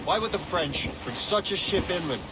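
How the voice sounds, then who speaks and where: Terse weary sea captain, fairly high. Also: male voice, animated, normal speed, poor audio. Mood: puzzled. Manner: dry, terse, understated. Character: weary, principled male sea captain and hunter. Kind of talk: video game cutscene acting. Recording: sea and ship noise behind.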